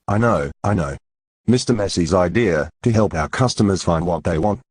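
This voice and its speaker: An actor playing a fussy male department store manager in a radio drama, with a husky voice. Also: low-pitched, expressive, normal speed, a little mellow, dry recording, studio quality.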